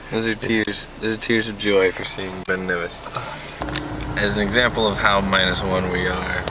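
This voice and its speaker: A man's voice: on the low side, level tone, measured pace, recorded from a distance. A young man in his twenties casually narrating a road trip from inside a car, joking like a tour guide. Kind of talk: casual travel commentary. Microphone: handheld camera at arm's length. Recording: loud car noise, slightly off-mic.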